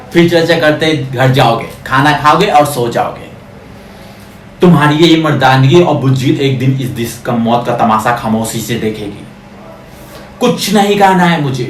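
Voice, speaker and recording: A man's voice: medium pitch, close to an everyday voice. A man playing a bitter, defiant condemned prisoner in a theatrical film monologue, scornfully declaiming at a crowd and repeating words for emphasis. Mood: bitter scorn. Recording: echoey, distant, noisy audio.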